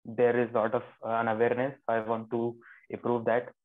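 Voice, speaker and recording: A young man, an undergraduate earnestly introducing himself in an online class, halting now and then. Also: mid-range voice, completely flat delivery. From India. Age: young adult. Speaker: undergraduate student. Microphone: video call. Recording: tinny phone-like video call audio.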